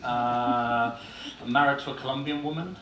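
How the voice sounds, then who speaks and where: A man's voice: medium pitch, steady tone, moderate pace, a bit bright, muffled recording. An Irish-born man with an English accent gives a blunt, informal talk, peppering it with "uh"s. His voice sits in a middle range. It rings around an echoey hall from a distant mic, over a faint background hum. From Ireland. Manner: blunt, informal, with frequent "uh" fillers. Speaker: conference speaker. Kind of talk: conference presentation. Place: conference hall.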